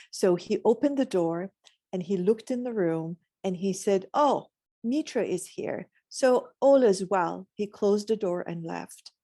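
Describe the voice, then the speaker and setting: A woman from Iran, telling her story reflectively in a measured, philosophical way as a guest. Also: on the low side, warm tone, dry recording, studio quality. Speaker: podcast guest.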